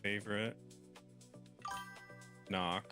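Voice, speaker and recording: A man's voice: lowish voice, flat delivery, extremely slow, music in the background. A man guesting on a live stream, chatting casually in a laid-back way.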